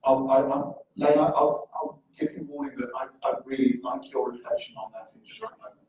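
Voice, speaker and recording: A man moderating a live panel discussion in a conference room, polite and a little halting as he calls on speakers. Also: mid-range voice, level tone, lo-fi sound. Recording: echoey hall, distant mic, faint noise.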